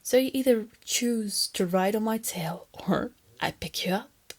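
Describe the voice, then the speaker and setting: A woman voicing a female lamia captor in an intimate ASMR roleplay, soft and often whispery, teasing and coaxing, with condescending sweetness and a quiet menace. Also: medium pitch, lively intonation, a little slow. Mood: coaxing, bossy.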